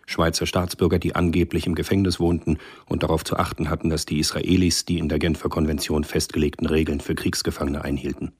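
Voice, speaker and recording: A man narrating a scripted German radio play in a measured, sober storytelling manner. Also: extremely low pitch, little pitch movement, darkish tone, slightly rough.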